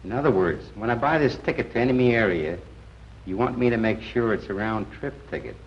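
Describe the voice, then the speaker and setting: A man's voice: medium pitch, a little fast, natural voice. A man playing a wry, casual secret agent being briefed in a 1940s training film. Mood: dry, casual. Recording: muffled, noisy 1940s film soundtrack.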